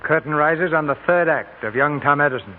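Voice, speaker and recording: A man's voice: fairly high pitch, brisk, close to an everyday voice. A man narrating a 1940s radio drama in a formal, solemn, dramatic manner. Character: solemn story narrator introducing an act. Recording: tinny old radio with music.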